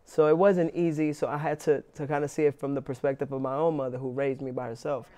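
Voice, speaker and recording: A young man, an actor being interviewed, talking casually with lots of restarts and fillers. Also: on the high side. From New York, Inwood. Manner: relaxed, halting with many restarts and fillers.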